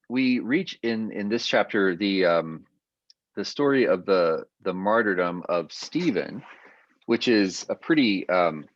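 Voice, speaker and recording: A man's voice: fairly low pitch, no echo, dull audio. A man giving an adult education lecture, explaining at length and hesitating with frequent fillers, speaking over a video call.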